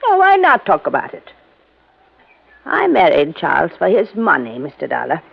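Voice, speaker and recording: A woman's voice: wildly swooping pitch, moderate pace, vocal fry, slightly rough, full character voice. An actress playing a wealthy widow and former chorus girl in a vintage radio mystery, with a fluttery, dramatic manner. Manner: fluttery, dramatic swoops in pitch. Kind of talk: 1950s radio drama acting. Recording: dull vintage radio recording.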